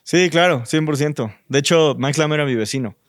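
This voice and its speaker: Mexican designer guest, man, smooth resonant voice, dry close sound, chatty and reflective. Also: a little high, a little fast, no echo. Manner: thoughtful, many fillers like "este" and "o sea". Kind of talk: relaxed conversational interview.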